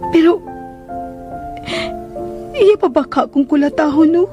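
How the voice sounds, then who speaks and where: An actress with a Filipino accent playing a meek, sorrowful young housemaid in a radio drama, pleading and plaintive. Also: female voice, high-pitched, a little slow, mellow, muffled recording. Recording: radio drama over prominent music.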